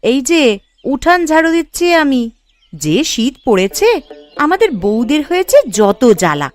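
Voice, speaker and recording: A woman's voice: sits high, big pitch swings, measured pace, dry recording, soft background music. A woman voicing a caring, busy, slightly tart young village wife in a cartoon, with a fussing manner. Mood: mildly peeved. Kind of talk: Bengali cartoon dialogue.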